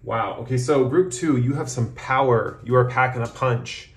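A man's voice: mid-range voice, moderate pace, a little hiss or hum. A man giving a casual, improvised tarot reading, talking in a rambling way full of "like" and "kind of". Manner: rambling, hedging with frequent "like" and "kind of". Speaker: tarot reader, host. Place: indoors at a tabletop, off camera. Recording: roomy, echoing room sound.

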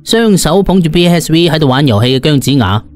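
A man's voice: fairly high pitch, expressive, fast. A man with a Cantonese accent narrating a comic first-person audiobook in a colloquial, theatrical way.

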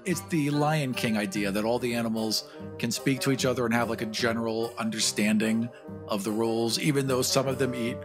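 Man in casual joking talk, soft music behind. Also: mid-range voice, fairly even intonation, fairly quick.

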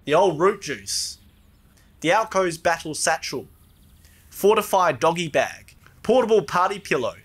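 A man playing a crude, dim, excitable young bloke in a cartoon, with an Australian accent and rambling, slangy talk. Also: high-pitched, unhurried, pauses often, breathy edge, exaggerated voice. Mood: eager.

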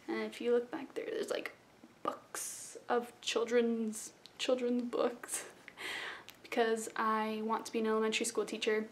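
A woman's voice: fairly high pitch, a little hiss or hum. A young woman from South Florida talking casually to a webcam in her bedroom, rambling and self-deprecating. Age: young adult. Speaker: vlogger. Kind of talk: casual rambling intro vlog. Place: small bedroom indoors. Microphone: webcam at close range.

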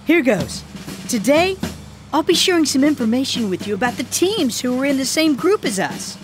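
A woman's voice: high-pitched, very animated, normal speed. A woman voicing an earnest boy footballer in a cartoon, explaining things seriously to his teammates. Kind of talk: animated cartoon dialogue. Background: background music.

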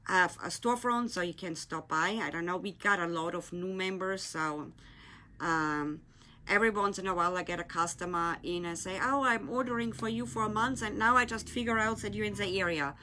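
A woman's voice: on the low side, normal speed, sharp tone. A woman with a German accent, English her second language, chatting casually to viewers as she demonstrates a craft on a live stream. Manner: chatty, loose grammar, talking to viewers while working with her hands. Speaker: craft shop owner hosting a live tutorial. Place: indoor craft room, at a glittery table. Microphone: phone or camera on a desk, filming her hands.

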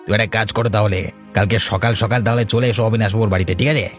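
Man as sly village go-between, persuasive, low pitch, cartoon storytelling style. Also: steady tone, fast talker, faint music behind, natural voice. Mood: coaxing. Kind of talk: Bengali fairy-tale cartoon voice acting.

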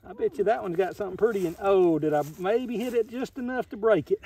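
A woman's voice: medium pitch, fast, mellow, slightly rough. A woman with a Southern US accent chatting casually and in a folksy way to viewers while digging outdoors. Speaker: metal detecting vlogger. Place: outdoors on an old farm. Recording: outdoor camera audio with noise.

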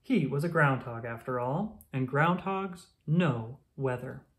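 An expressive storyteller reading a children's picture book aloud. The voice sits at a middle pitch and carries the narration in a storytelling style. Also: takes it slowly.

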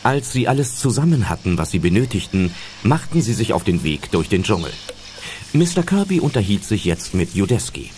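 A man's voice: on the low side, sing-song, fairly quick, darkish tone, slight background noise. A man reading scripted narration for a German audio drama.

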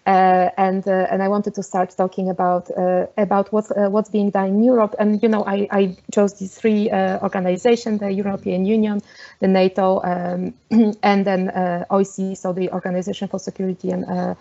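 A woman from Poland with a Polish accent, giving an online lecture over a video call, often pausing with fillers and restarts. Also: medium pitch, little pitch movement, vocal fry, warm tone, lo-fi sound. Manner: hesitant, many fillers and restarts. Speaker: webinar speaker.

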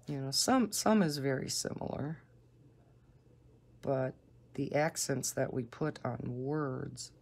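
A woman with a Northern American accent and a low, soft voice, chatting casually and unhurriedly. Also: lively intonation, vocal fry, breathy edge. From northern United States. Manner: unhurried, deliberately slow talking. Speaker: coloring video host. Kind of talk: casual rambling chat while coloring.

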